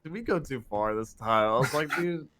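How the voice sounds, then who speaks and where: A man's voice: mid-range voice, animated, normal speed, noisy background. A young man in college, chatting casually on a podcast and making dry, offhand remarks. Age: young adult. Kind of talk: casual chat.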